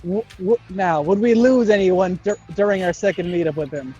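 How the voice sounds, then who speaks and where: A young man with a high-pitched voice riffs casually as a podcast co-host. He jokes, swears and slips into little bits of acted dialogue, with music playing behind him. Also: moderate pace, a little mellow, muffled recording. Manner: jokey, profane, acts out bits of dialogue. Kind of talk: casual improvised fan banter.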